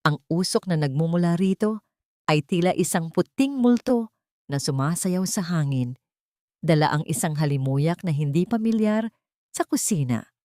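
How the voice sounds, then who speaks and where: Female narrator, low register, solemn dramatic storytelling, dry studio-like sound. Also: expressive, normal speed, warm tone, no room sound.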